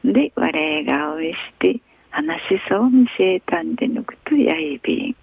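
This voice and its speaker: A woman radio host reading a newspaper article aloud in a slow, measured way, with an Okinawan accent. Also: fairly high pitch, sing-song, gravelly, husky, no echo. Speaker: radio news reader. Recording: tinny phone-like radio sound.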